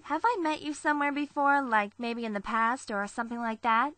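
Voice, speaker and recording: A woman's voice: fairly high pitch, steady tone, fairly quick, fairly bright tone, no echo, close to an everyday voice. A woman voicing a blunt, haughty, easily bored teenage schoolgirl in an anime fan dub, speaking curtly and emphatically. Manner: curt, brusque, emphatic.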